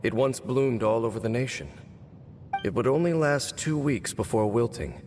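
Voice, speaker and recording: An actor playing a solemn, measured warrior in a video game, speaking formally and with restraint. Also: male voice, medium pitch, a little mellow, a little hiss or hum, natural voice. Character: solemn, measured male warrior from a fallen kingdom.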